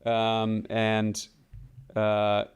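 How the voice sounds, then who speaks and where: Podcast guest, man, late 30s, from the DC area; resonant, low, unhurried and reflective. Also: monotone, clear, resonant tone. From Washington DC area, US. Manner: measured, thoughtful, with frequent ums. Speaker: guest, real estate broker. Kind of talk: casual podcast interview.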